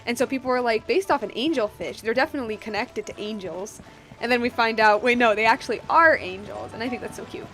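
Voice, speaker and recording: A woman gives casual live commentary on a game stream. Her voice is on the high side, chatty and slangy with playful asides. Soft game music plays in the background. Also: fast.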